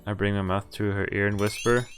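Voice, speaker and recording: A man's voice: fairly low pitch, level tone, a little fast, fairly bright tone. A man streaming a game, reading its dialogue aloud and adding informal asides of his own. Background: game music.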